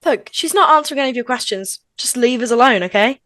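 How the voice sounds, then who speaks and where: A woman's voice: on the high side, lively intonation, quick pace, a bit bright, no echo. A woman playing a brash, impatient teenage girl in an amateur script read, sassy and whiny. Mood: defiant.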